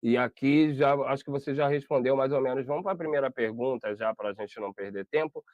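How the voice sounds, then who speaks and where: A man's voice: medium pitch, fairly quick, darkish tone, no echo. A Brazilian man hosting an interview over a video call, chatty and rambling, often correcting himself. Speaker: interview host. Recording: dull lo-fi video call audio.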